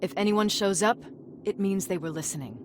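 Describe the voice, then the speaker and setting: A woman's voice: medium pitch, a little fast, natural voice. Game role: embittered resistance commander woman actor, mid-range voice, blunt, profane, used to giving orders, slight noisy ambience. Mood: grim, controlled. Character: hard-edged, embittered female resistance field commander. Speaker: military field commander. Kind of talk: dramatic video game acting.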